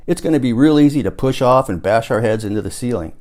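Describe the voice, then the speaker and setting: A man voicing an excitable, conspiracy-minded amateur rocket schemer in audiobook dialogue, explaining things emphatically. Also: mid-range voice, fast, lightly acted. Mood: cautioning, explaining. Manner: emphatic, explanatory, catchphrase-prone.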